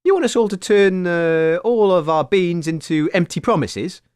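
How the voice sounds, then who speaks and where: A man's voice: sits high, lively intonation, normal speed, darkish tone, clean tone, no echo, clean studio sound. A man co-hosting a comedy radio show in a mock-formal, bemused presenter manner.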